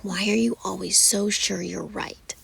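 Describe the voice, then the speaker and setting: A woman's voice: mid-range voice, steady tone, creaky, fairly bright tone, slightly rough, exaggerated voice. Woman voicing a nagging old mom. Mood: teasing. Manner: nagging, indignant, quick to argue. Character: fussy bossy older mother, sharp-tongued.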